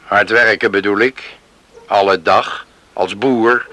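Radio play part: reserved, gruff older man, lowish voice with a hard edge. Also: fairly even intonation, takes it slowly, bright, forceful tone. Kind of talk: Dutch radio drama acting.

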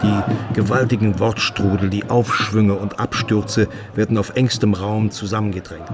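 A man with a husky voice delivering scripted, literary radio narration. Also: lowish voice, steady tone, normal speed, loud music behind the voice. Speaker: radio feature speaker.

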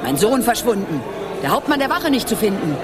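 A woman's voice: on the high side, sing-song, a little fast. An actress playing a worried, imperious middle-aged noblewoman in a radio play, formal and commanding. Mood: agitated. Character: worried, imperious noblewoman, mother of young emperor. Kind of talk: German radio play acting. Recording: music and crowd behind.

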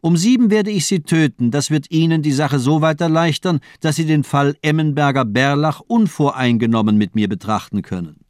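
A man's voice: on the high side, moderate pace, few pauses, a little mellow, dry recording, clean studio sound, natural voice. An actor playing a murderous, pedantic doctor in a radio crime drama, precise, formal and mocking in his lecturing. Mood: cold, taunting. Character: cold, amused villain doctor, murderous and pedantic.

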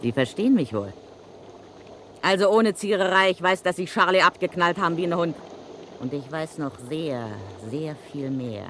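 An actress playing a cocky, slangy widow of a crook who blackmails a murderer, in a vintage radio play. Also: female voice, on the low side, lively intonation, moderate pace, fairly bright tone, slight background noise. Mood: menacing.

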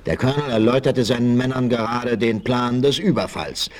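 A man's voice: medium pitch, fairly quick, a little mellow. A man narrating a 1960s German audio drama, telling the story in a dramatic, suspenseful way.

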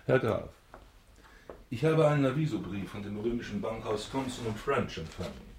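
An actor playing a self-important banker baron, formal and stiffly deferential, in a German radio drama. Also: male voice, fairly low pitch, expressive, moderate pace, darkish tone, echoey room, slight background noise. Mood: stiff, wary.

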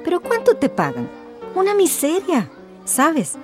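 A woman's voice: very high-pitched, huge pitch swings, measured pace, heavily acted. A woman playing a middle-aged domestic worker in a radio drama, sly and grumbling, with a coaxing, confiding manner. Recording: music behind the voice.

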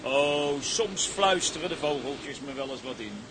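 An actor playing a sly, insolent, grumbling deckhand in a radio drama, griping and needling. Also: male voice, medium pitch, expressive, a little slow, noisy background, close to an everyday voice. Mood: sly, evasive.

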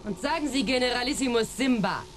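A fierce hostage-taker, played by a woman in a radio drama, very high-pitched and curt. She snaps out orders over faint ambient noise. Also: lively intonation, quick pace, natural voice. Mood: harsh, commanding. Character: fierce female hijacker barking curt orders. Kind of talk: radio play acting.

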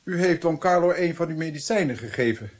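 Male actor for radio play: haughty, threatening friar, zealous, formal, rather high-pitched. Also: lively intonation, fairly quick, close to an everyday voice. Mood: accusing, grave. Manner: formal, accusing, sanctimonious. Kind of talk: radio drama acting.

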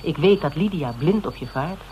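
An actor playing a persistent, polite private detective in a Dutch radio drama, speaking in a measured, explanatory, quietly probing way. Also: male voice, on the high side, animated, highs cut off, natural voice. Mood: measured, insistent. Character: persistent male private detective questioning a suspect, methodical and polite. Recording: noisy old radio drama recording.

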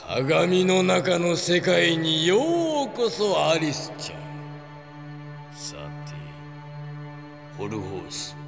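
Gravelly, gloating sadistic villain. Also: male voice, a little high, sing-song, drawn-out words, pauses often, full character voice. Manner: mocking, drawling, gloating. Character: sadistic villainous killer taunting his victim's avenger. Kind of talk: Japanese audio drama acting. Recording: loud dramatic music behind.

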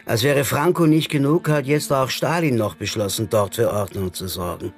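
An actor playing a jaded, defiant anarchist stage magician in a German radio crime drama, talking colloquially and chummily with a theatrical streak. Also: male voice, medium pitch, moderate pace, soft background music, lightly acted. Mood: earnest, bitter. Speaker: supporting actor.

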